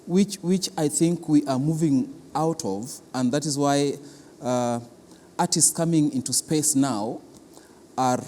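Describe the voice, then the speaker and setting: A man with a Kenyan accent giving explanatory interview answers as an arts institution official, halting and deliberate, often repeating words for emphasis. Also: fairly high pitch, mellow. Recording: long reverberant hall, clear background noise.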